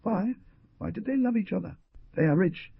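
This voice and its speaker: Man putting on a weak, sweet-voiced young man, pleading, lifted high, husky, dull dry recording. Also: expressive, moderate pace, no room sound, full character voice. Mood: passionate. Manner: passionate, pleading speech.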